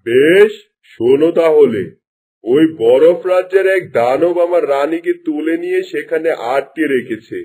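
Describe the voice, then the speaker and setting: A vain, scheming cartoon king, acted by a man, with a fairly high, soft-edged voice. He speaks in an imperious way, as one used to giving orders. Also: husky. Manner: imperious, commanding. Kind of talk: Bengali cartoon dubbing.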